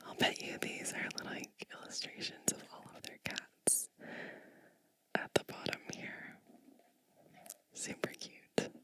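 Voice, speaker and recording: A woman whispering gently and breathily close to the microphone, reading a storybook aloud with chatty asides in an ASMR style. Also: low-quality recording. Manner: slow, gentle, hushed delivery with chatty asides.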